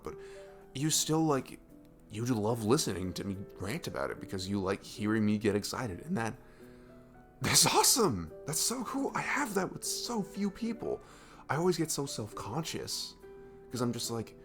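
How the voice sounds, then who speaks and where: A man's voice: on the low side, gravelly. A man playing a goofy, affectionate young guy smitten with his best friend, rambling informally in a roleplay audio. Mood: sincere, admiring. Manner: rambling, gushing, informal, chuckling between phrases. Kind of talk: romantic ASMR roleplay audio, casual improvised-sounding chat.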